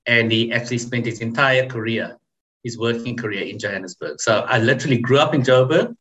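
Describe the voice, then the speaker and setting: A man in his forties, a podcast guest telling his family story in a measured, reflective way. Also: mid-range voice, a bit bright, dull audio. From South Africa. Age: 40s. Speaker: interview guest. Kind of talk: casual interview chat.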